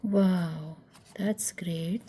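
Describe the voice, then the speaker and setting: A woman listening along as a child reads, chiming in with short, soft words of encouragement. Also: fairly low pitch, steady tone, very slow, breathy edge. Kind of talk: encouraging reading partner.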